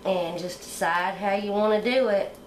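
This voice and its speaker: A middle-aged woman hosting a cooking channel, explaining step by step how to decorate a sugar egg at home in a relaxed, homey way. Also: mid-range voice, moderate pace, roomy sound, slight background noise, rough recording. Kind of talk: hands-on decorating tutorial.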